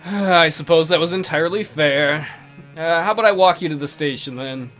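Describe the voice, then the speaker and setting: A man voicing an awkward, apologetic male schoolteacher from a visual novel in a hesitant, halting way. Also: fairly high pitch, a bit bright, close to an everyday voice. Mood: awkward, encouraging. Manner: hesitant, halting, casually read. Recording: music behind the voice.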